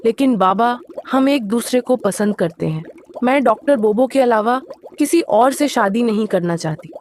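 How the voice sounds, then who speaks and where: A woman's voice: fairly high pitch, lively intonation, normal speed, a little mellow, a little hiss or hum, lightly acted. A woman playing a young, lovelorn mermaid daughter in a cartoon moral story, earnest and pleading.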